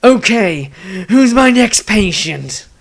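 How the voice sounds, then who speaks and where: A man's voice: high-pitched, very animated, slow, vocal fry, pitched above the performer's own voice, full character voice. A man voicing a menacing, sardonic, bloodthirsty male healer who fights, in a sneering manner, for a fantasy story. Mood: gleeful menace.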